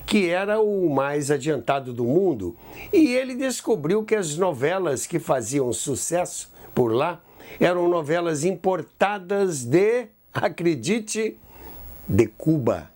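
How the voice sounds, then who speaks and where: Animated Brazilian man, higher-pitched storyteller. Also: takes it slowly. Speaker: host and narrator of a radio history podcast. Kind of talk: scripted storytelling narration.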